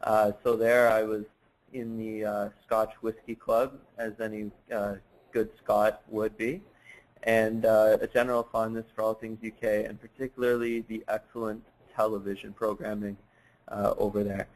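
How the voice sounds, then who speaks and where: A man presenting in a webinar for an education organisation, measured and steady, with frequent uhs. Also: fairly low pitch, poor audio. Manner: flat, measured, with many uhs.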